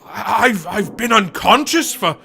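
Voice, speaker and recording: A man playing a confused, rambling history professor in a radio play, halting and stammering. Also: sits high, expressive, gravelly, bright, forceful tone, slight background noise, full character voice. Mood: bewildered.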